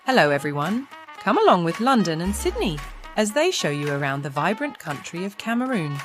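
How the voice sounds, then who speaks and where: A woman's voice: fairly low pitch, sing-song, brisk. A woman reading an upbeat scripted promo in a sing-song way. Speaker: promo narrator. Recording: voice over clear music.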